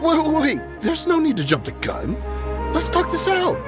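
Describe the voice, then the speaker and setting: A jumpy, fast-talking smuggler who tries to haggle his way out of trouble. His voice rides high and swings wildly in pitch as he pleads. Game music hums beneath him. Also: huge pitch swings, exaggerated voice. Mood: pleading. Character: nervous young male smuggler, wheedling and evasive. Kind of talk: video game voice acting. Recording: game audio with music underneath.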